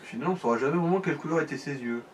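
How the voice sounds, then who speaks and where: A man's voice: a little high, very fast. A man giving casual co-commentary on a video game, explaining things and joking crudely. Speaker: let's play co-commentator. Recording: distant mic with faint background noise.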